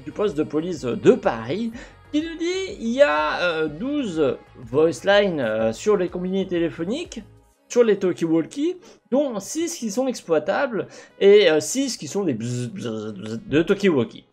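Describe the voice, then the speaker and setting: Young male gaming host, headset mic, faint game music underneath, animated delivery. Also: sits high, a little slow. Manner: animated, talks with his hands, many fillers and restarts. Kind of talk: commentary over gameplay. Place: home room with gaming chair.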